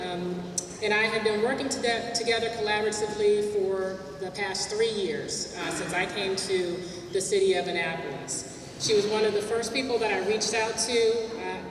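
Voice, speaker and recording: A woman's voice: medium pitch, a little hiss or hum. A woman emceeing a business networking event in a hotel hall, with a big projecting voice and some filler words. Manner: loud projecting voice. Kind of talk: welcome speech at a business event. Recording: echoey hall, mic from far off.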